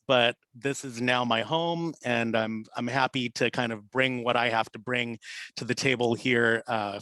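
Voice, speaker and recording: A man's voice: mid-range voice, dry recording, rough recording. A man in his forties, a chef, casually introducing himself on a video call with lots of fillers. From Philippines, raised in California. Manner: relaxed, rambling, many fillers. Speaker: senior sous chef.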